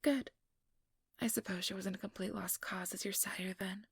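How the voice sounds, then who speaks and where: An ambitious female vampire, acted by a woman, whispers intimately in an ASMR role-play. Her voice is extremely breathy and creaky, recorded dry and close. She speaks in a measured, condescending way, manipulative and quietly persuasive. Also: medium pitch, fairly even intonation, dry recording. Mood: smug approval. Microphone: close ASMR microphone.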